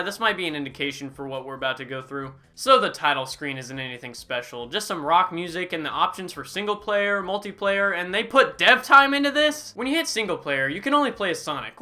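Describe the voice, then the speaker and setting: A young man narrates a comedic game review voice-over in a high, sharply bright voice. His delivery is sarcastic and swings widely in pitch, with sudden exaggerated outbursts between dry asides. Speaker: gaming YouTuber.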